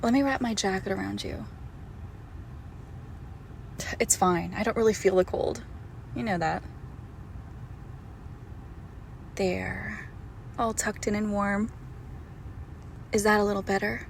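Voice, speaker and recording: A woman playing a doting, possessive girlfriend who is secretly a monster, in an intimate, hushed roleplay audio drama. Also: medium pitch, fairly even intonation, vocal fry, a little hiss or hum, lightly acted. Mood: affectionate. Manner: slow, hushed, tender, often dropping to a whisper.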